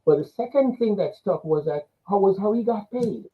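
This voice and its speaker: An older man with a Jamaican accent speaks through a narrow, phone-like connection. His voice sits fairly high for a man as he recounts his life story as an interview guest. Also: lively intonation, normal speed. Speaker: interview guest, business coach.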